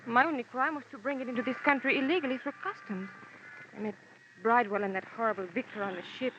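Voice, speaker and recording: A woman as a high-voiced, pleading femme fatale. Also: fast talker, a bit bright, highs cut off, a little hiss or hum, natural voice. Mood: anxious, pleading. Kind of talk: old-time radio detective drama acting.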